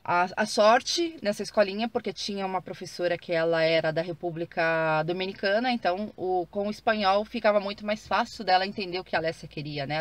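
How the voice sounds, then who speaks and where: A woman's voice: medium pitch, no room sound. Brazilian woman, thirties, vlogger, chatty with fillers, talking from the car. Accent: Brazilian Portuguese. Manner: chatty, many fillers and self-restarts. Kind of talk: casual vlog talk to viewers. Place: car while driving.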